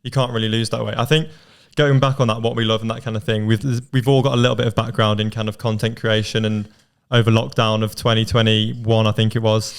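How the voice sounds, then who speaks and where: A man's voice: lowish voice, quick pace, hardly any pauses, clean tone. A man in his twenties co-hosting a casual podcast chat, leading the talk loosely with lots of filler words. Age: 20s. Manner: relaxed, leads the talk with many "kind of" fillers. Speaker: podcast co-host.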